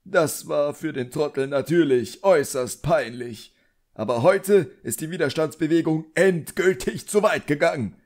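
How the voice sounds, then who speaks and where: Casting: pompous, irate middle-aged wizard bureaucrat for an audiobook, raised pitch, barking contempt, performed by a male narrator. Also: normal speed, close to an everyday voice. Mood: pompous, threatening.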